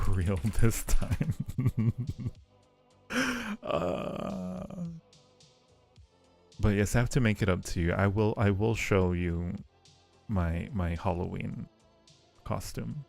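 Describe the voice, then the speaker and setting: Low, slow, gravelly man streaming. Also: darkish tone, faint music behind. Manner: affectionate, playful endearments to viewers. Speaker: livestream host. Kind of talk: casual livestream chat.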